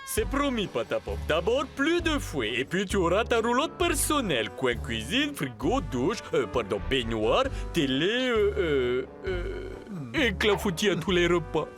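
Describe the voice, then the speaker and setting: High-pitched, blustering circus lion tamer. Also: male voice, wildly swooping pitch, unhurried, hardly any pauses, fairly bright tone, soft background music, full character voice. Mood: pleading, exasperated. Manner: theatrical, exasperated, hesitating when pleading. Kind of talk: French cartoon voice acting.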